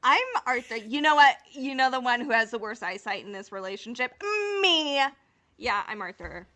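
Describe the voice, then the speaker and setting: A woman's voice: on the high side, normal speed, sharp tone. A woman co-hosting a casual fan podcast, chatting in a playful, teasing way. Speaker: podcast co-host.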